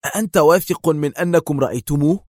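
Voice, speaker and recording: A man's voice: mid-range voice, very animated, fast talker, dry recording, studio quality. A man voicing a confident, sarcastic secret agent hero in a storybook thriller, taunting and decisive. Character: mocking, supremely confident male Egyptian secret agent hero.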